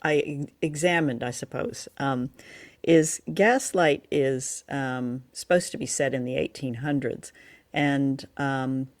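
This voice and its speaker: A woman's voice: rumbling low, steady tone, slow pace, no room sound. A woman co-hosting a radio show, chatting about old drama and often searching for her words. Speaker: radio show and podcast co-host.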